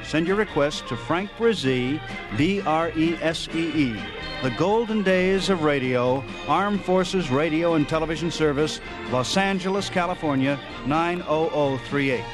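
Higher-pitched American radio host over music. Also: male voice, a little slow. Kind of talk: polished scripted radio sign-off.

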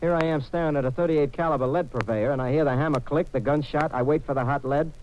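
An actor playing a wry, slangy hardboiled American adventurer in a 1950s radio detective drama. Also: male voice, fairly high pitch, quick pace, slight background noise, close to an everyday voice. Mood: wry narration. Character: wisecracking hardboiled Yankee adventurer narrating his own scrape. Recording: muffled old radio recording, music behind.

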